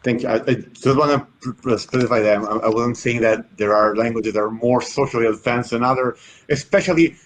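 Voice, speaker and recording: A man moderates a panel discussion. He repeats words and restarts his sentences while he feels his way toward the question. His voice sits in a middle pitch. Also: a little fast, rough recording. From Italy.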